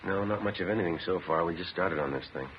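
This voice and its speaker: An American man playing a terse, steady police detective sergeant in a 1950s radio drama, narrating in a deadpan, matter-of-fact way. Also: deep voice, fast talker, slight background noise, natural voice. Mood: casual, businesslike. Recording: muffled old 1950s radio recording.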